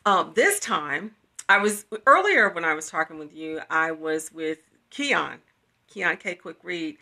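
A woman's voice: low voice, lively intonation, measured pace, bright, forceful tone. A middle-aged woman hosting a casual promotional video chat, enthusiastic and emphatic, repeating phrases, recorded on a phone at arm's length in a small room. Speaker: host, writer and producer of an audio drama series.

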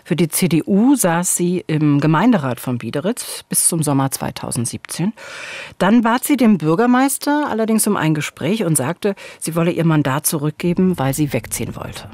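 A woman's voice: fairly low pitch, lively intonation, moderate pace, darkish tone. A woman in her forties presenting a true-crime story as scripted narration in dialogue, at a studio microphone. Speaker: true-crime podcast host. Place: small dark studio. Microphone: studio boom microphone, headphones.

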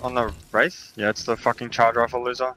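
A man's voice: mid-range voice, level tone, fairly quick, bright, forceful tone, rough recording. A man giving short, repetitive, sweary callouts and casual chat to teammates as an esports player. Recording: clear game noise and gunfire. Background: shooter game gunfire and ambience.

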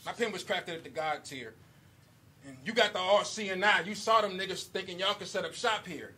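A man's voice: high voice, brisk. A man performing a rap battle with punchy, rhythmic delivery, chuckling now and then between his bars. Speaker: battle rapper. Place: indoor basement battle venue with crowd. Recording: loud live crowd recording. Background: crowd cheering and shouting.

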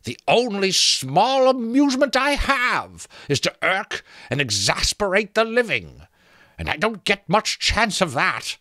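Storybook undead skull, mocking and creaky, drawls its taunts; a man puts it on. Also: sits high, sing-song, sharp tone, dry recording, clean studio sound, pitched above the performer's own voice, exaggerated voice. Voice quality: creaking, rattling voice. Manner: teasing, drawn-out, slow delivery.

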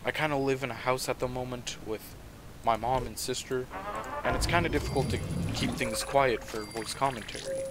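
A man casually commenting on his own gameplay at home, talking quietly and rambling, with the game's sound underneath. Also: mid-range voice, fairly even intonation, normal speed, loud music behind the voice. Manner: soft-spoken, rambling. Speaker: gaming channel host.

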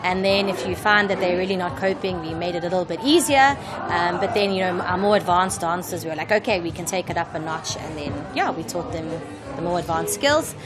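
A woman choreographer explaining her teaching in a relaxed way, with frequent ums. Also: lowish voice, brisk, a crowd in the background. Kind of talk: casual interview.

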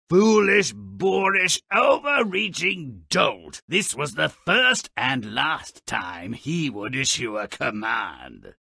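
Video game role: morbid dwarf storyteller, wildly swooping delivery, gravelly and mocking, relishing every gruesome word. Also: male voice, medium pitch, bright, forceful tone, no echo, studio quality, heavily acted. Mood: loud, scornful. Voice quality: raspy, gravelly. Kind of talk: theatrical scripted video game narration.

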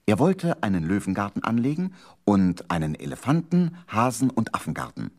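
A man's voice: fairly low pitch, very animated, moderate pace, darkish tone. A man narrating a children's radio play as a scripted storyteller.

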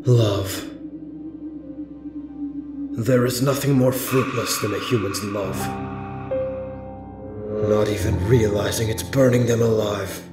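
A solemn, bitter reaper, acted by a man talks in slow, measured phrases. His grave comic dub delivery echoes with music behind it. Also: on the low side. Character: solemn, brooding male reaper, bitter about human love. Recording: roomy echo with music behind.

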